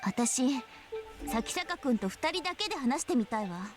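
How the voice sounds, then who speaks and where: A woman's voice: high voice, big pitch swings, rapid-fire. An actress playing an indignant, strong-willed young female student in a visual novel, firm and assertive. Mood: indignant, determined. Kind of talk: Japanese visual novel voice acting. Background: game music.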